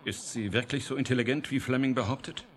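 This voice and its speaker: An actor playing an authoritative, probing scientist in a German radio drama, giving orders and asking questions. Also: male voice, medium pitch, fast talker, lightly acted. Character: authoritative male scientist in charge, businesslike, probing, calculating.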